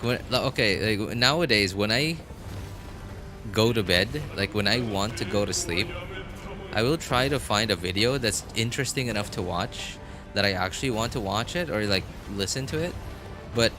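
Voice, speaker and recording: Low-pitched, bright-voiced rambling man. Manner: rambling, halting, thinking aloud. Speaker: game livestreamer. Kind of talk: casual chat to viewers while gaming. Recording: music playing behind the voice. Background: background music.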